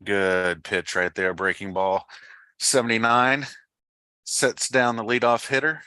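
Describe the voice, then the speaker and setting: Guy doing baseball play by play, bright voice, close dry sound, matter of fact. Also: fairly low pitch, little pitch movement, unhurried, clean tone, no echo. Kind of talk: live sports commentary.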